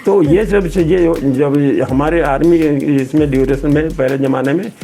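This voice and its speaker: Creaky, bright-voiced elderly man reminiscing. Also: a little high, moderate pace, faint music behind. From Betul, central India. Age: 80s. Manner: rambling, repeats words, acts out remembered dialogue. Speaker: retired army veteran, interview guest. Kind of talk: conversational personal reminiscence.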